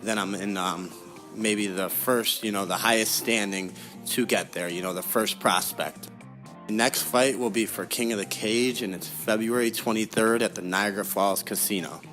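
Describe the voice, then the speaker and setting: Low-voiced young man, casual interview guest. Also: steady tone, moderate pace, a bit bright, faint music behind. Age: young adult. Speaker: interview guest, professional fighter.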